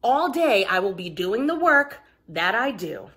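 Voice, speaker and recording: A woman voicing a busy, caring father in a children's story, giving measured parental instructions. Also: mid-range voice, big pitch swings, fairly bright tone. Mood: explaining.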